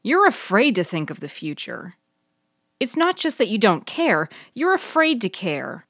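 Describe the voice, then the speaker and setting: A woman's voice: mid-range voice, big pitch swings, measured pace, fairly bright tone, breathy edge, dry recording, studio quality, voice pushed up, exaggerated voice. A woman playing a curt, domineering, easily agitated woman in a story, speaking bluntly. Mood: agitated, scornful. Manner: blunt, uncensored.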